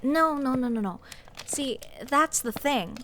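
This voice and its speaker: Woman acting as a scoffing fantasy sorceress, slightly high voice, sarcastic, conversational, nitpicking, audio roleplay, noticeable background ambience. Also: lively intonation, takes it slowly, pushed and bright, full character voice, poor audio. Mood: exasperated, ranting. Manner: sarcastic, exasperated, conversational rambling. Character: snarky, nitpicking sorceress adventurer, scoffing at absurdity. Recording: clear background ambience noise, clicks. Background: dungeon ambience.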